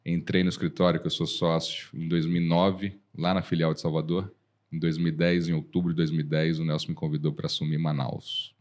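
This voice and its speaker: A Brazilian man in his forties, a lawyer guest on a podcast, telling stories in a lively way and acting out old conversations. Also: extremely low pitch, fairly quick. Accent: Brazilian Portuguese. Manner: animated storyteller, acts out dialogue, frequent restarts. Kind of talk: casual storytelling interview.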